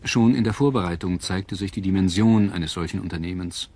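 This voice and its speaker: Deep-voiced male documentary narrator. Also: fairly quick, warm tone. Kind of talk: scripted history documentary narration. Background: prominent music.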